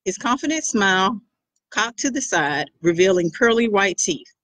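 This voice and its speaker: A woman author reading a first-person chapter of her own novel aloud, expressive and animated. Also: medium pitch, normal speed, dry recording.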